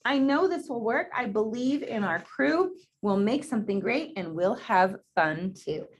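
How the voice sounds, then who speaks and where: A woman's voice: fairly high pitch, lively intonation, measured pace, husky, voice pushed up. A woman voicing an eager male red paint splatter from a storybook, upbeat and coaxing as he rallies his team. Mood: encouraging.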